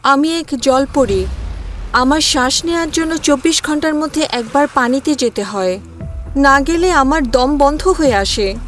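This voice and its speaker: A woman voicing a gentle, earnest young orphan mermaid bride in a fairy-tale cartoon. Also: sits high, measured pace, soft background music. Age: young adult.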